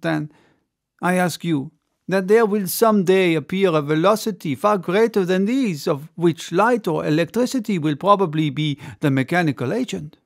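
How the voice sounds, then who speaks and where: Man voicing a confident, higher-pitched adventurer orator. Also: creaky. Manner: oratorical, emphatic. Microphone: close studio microphone on desk.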